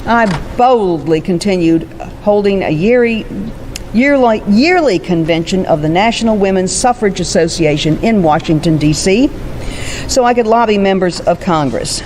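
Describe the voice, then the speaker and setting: Female performer as old suffragist orator, dignified and resolute, mid-pitched, stage monologue, slightly noisy live capture. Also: expressive, normal speed, darkish tone, close to an everyday voice. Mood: proud, dignified. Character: aged, resolute woman suffrage campaigner recounting her life. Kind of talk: first-person historical monologue on stage.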